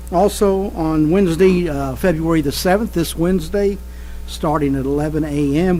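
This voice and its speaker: Warm-voiced councilman, halting. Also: fairly high pitch. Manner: hesitant, many uhs, earnest. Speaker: city councilman. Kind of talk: council announcements. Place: council chamber.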